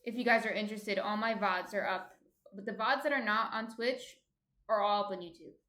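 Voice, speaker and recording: A woman's voice: medium pitch, a little fast, fairly bright tone, echoey room. A woman with a slight New Jersey accent hosts a baking livestream, reading viewer comments aloud and chatting as she cooks. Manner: chatty, thinks aloud while cooking.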